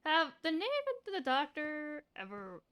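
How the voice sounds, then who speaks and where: Bright, high-voiced woman streaming a game. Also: lively intonation, unhurried. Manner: blunt, sweary. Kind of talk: casual game stream commentary, reading dialogue aloud.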